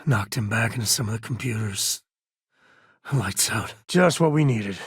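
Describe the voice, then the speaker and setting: A very raspy, dry-recorded middle-aged man of the space frontier. He is a devoted father and easygoing explorer who talks in a folksy, wry way, naturally and without fuss. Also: on the low side, lively intonation, normal speed, no echo, full character voice. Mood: grave, reflective, then alert, concerned. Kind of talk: video game voice acting, naturalistic dialogue.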